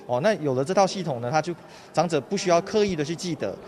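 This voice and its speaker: A man's voice: a little high, animated, fast, darkish tone. A man from Malaysia, a student interviewee, explaining things conversationally in an interview. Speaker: student interviewee, former nurse.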